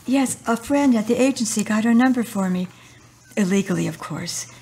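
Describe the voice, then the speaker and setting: A middle-aged woman playing a tender, consoling mother in a radio drama, with a husky voice. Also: fairly high pitch, expressive, normal speed, mellow. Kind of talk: amateur radio drama acting.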